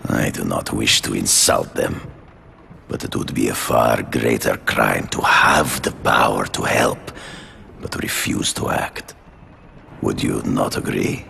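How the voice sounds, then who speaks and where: Imperious game villain: cavernous, gravel-throated hunter, slow and deliberate, cold and proud. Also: male voice, a bass voice, sharp tone, a little hiss or hum, exaggerated voice. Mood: stern. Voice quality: gravelly, growling. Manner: formal, deliberate, theatrical. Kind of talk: video game dramatic acting.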